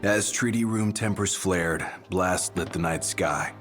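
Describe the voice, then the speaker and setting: Brief: stoic game bodyguard, very low and solemn, music clearly behind. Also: male voice, unhurried, fairly bright tone. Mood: somber. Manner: solemn, weighty. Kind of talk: video game cutscene acting.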